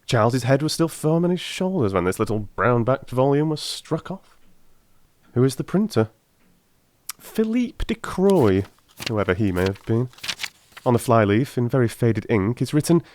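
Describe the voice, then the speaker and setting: A man's voice: medium pitch, sing-song, unhurried, darkish tone, no room sound. A man voicing a brilliant, confident detective in a dramatised audiobook, with theatrical, emphatic delivery. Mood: musing, animated. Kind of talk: dramatised audiobook character acting.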